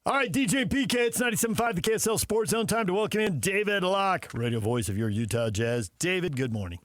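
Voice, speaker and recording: A man's voice: high-pitched, expressive, fast talker, no room sound. An American man hosting a sports talk radio show, bantering with guests and colleagues.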